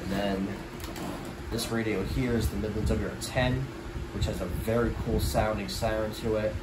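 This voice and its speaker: Low-voiced man, distant mic over loud hum. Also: fairly even intonation, normal speed. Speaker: hobbyist collector showing a collection. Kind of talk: casual show-and-tell vlog. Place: small bedroom indoors. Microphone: handheld camera, behind the lens.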